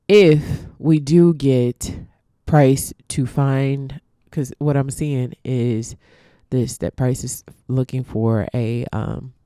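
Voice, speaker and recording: A woman's voice: extremely low pitch, mellow, dry recording, studio quality. A congested woman teaching forex trading, walking through a chart casually and thinking aloud with ums and restarts.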